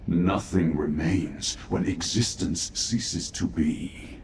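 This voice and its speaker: A doomed, devoted retainer in a fantasy game, slow and formal with a lowish pitch. He sounds grave and pressing, in an echoey space with faint noise behind him. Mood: grave, resigned. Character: despairing, loyal male servant of a fallen prince. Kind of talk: scripted fantasy video game dialogue.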